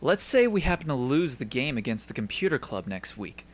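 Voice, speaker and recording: A man's voice: medium pitch, very animated, fairly quick. A man voicing a polite, composed teenage esper who explains things courteously in an anime dub style. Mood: calm, assured.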